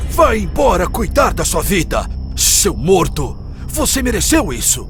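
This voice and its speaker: A grumpy old miser from a children's cartoon, with a high voice that swoops wildly. He scolds in theatrical exclamations, and faint music plays behind him. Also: wildly swooping pitch, a little slow, full character voice. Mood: bitter. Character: grumpy miserly old man, bad-tempered businessman. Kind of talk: animated children's story acting.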